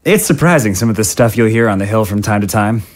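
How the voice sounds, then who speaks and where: An actor playing a flustered, polite politician in an audio drama, with a hesitant, apologetic manner. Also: male voice, on the low side, expressive, fast talker, lightly acted. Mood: friendly, apologetic. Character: flustered, polite male politician with new aide. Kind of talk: audio drama dialogue acting.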